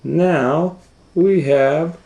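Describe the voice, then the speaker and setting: A middle-aged man reading a picture book aloud for children, dropping to whispers and rising to loud excited voices for the story. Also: a little high, drawn-out words, creaky, echoey room, rough recording. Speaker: storytime reader.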